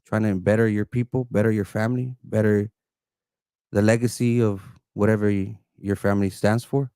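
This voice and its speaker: A man's voice: fairly low pitch, mellow, no echo, studio quality. A man from California arguing earnestly on a live stream from an apartment, repeating phrases and talking over the other man. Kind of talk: heated argument. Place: indoors, an apartment.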